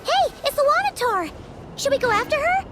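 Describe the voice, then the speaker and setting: A woman voicing a small, chirpy, naive floating girl companion in a video game, with a squeaky childlike voice. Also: big pitch swings, brisk, heavy background noise. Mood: curious. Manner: refers to herself in the third person. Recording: music behind the voice.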